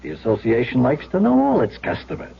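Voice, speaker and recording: An actor playing a slick protection-racket collector in an old radio drama, streetwise and falsely friendly. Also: male voice, medium pitch, huge pitch swings, fairly quick, gravelly, breathy edge, heavily acted. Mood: smug. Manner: wisecracking, mock-friendly, streetwise. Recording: dull, noisy vintage radio recording.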